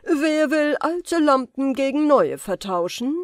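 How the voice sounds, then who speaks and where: A woman voicing a wicked, vengeful sorcerer in a fairy-tale audiobook, forceful and theatrical in delivery. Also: high-pitched, expressive, slow pace, no echo, studio quality, pitched above the performer's own voice. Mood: calling out. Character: wicked vengeful sorcerer, scheming grown man.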